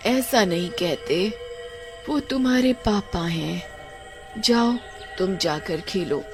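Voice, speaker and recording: Gentle village wife, voiced by a woman for a cartoon tale; soothing, patient delivery, medium pitch over music. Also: slow. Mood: sad, soothing. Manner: soft, soothing, placating.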